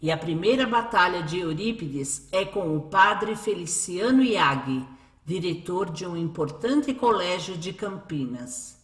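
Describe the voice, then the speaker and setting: A woman's voice: lowish voice, lively intonation, a little slow. Brazilian woman narrator, roomy echo. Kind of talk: scripted radio drama narration.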